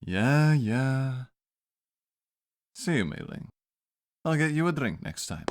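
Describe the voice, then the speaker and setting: A man's voice: medium pitch, big pitch swings, slow, slight background noise. A man playing a laid-back, flirtatious Victorian doctor in an audio drama, with music behind him. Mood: lazy, amused. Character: easygoing, hungover, flirtatious male Victorian doctor.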